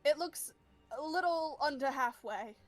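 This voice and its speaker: A woman's voice: high voice, lively intonation, measured pace, soft background music. A woman playing a tabletop game, chatting casually and narrating what a character feels.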